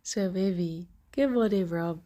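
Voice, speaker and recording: A young woman streamer with a congested, bunged-up voice, chatting softly with viewers and laughing often. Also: fairly low pitch, slow pace. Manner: soft, chatty, laughs often, greets chat by name. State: congested, stuffy nose. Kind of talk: casual morning chat with viewers.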